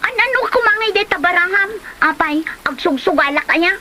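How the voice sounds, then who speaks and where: High, bright Ilocano schoolgirl played by a woman. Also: lively intonation, brisk, slight background noise, full character voice. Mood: annoyed. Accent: Filipino, Ilocano. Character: studious young schoolgirl daughter, exasperated with gambling family. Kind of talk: amateur comedy drama acting.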